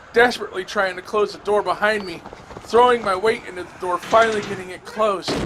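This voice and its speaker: A man playing a wounded, frightened survivor, telling his story in a dramatic, halting first-person horror audio drama delivery. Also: high voice, fairly bright tone, a little hiss or hum, voice pushed up. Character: terrified wounded male survivor of a zombie horde.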